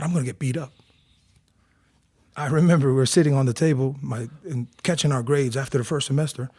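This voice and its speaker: Husky-voiced man from Tonga. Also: medium pitch, dry recording. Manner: animated storyteller, acts out quotes. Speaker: guest. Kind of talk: casual storytelling interview.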